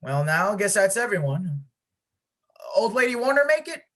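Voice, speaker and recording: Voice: mid-range voice, sing-song, normal speed, fairly bright tone. An amateur actor playing a folksy, businesslike village man in charge, with a hesitant manner. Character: folksy, businesslike male village official running the lottery. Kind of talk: amateur one-act play acting. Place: filmed on a video call.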